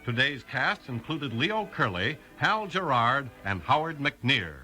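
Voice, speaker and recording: A man's voice: mid-range voice, sing-song, slow. A man doing polished, declamatory 1950s radio announcing from a script. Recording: old 1950s radio recording. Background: loud theme music.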